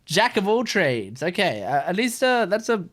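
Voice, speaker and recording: A man's voice: high-pitched, very animated, moderate pace, a bit bright. A man hosting a reaction video, giving casual, gushing and enthusiastic commentary.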